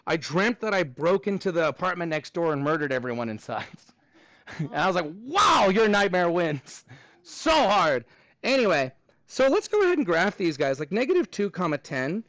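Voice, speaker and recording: A man's voice: sits high, sing-song, normal speed, fairly bright tone, dry recording. A man with a Texan accent teaching a middle school math class, chatty and joking with his students between problems.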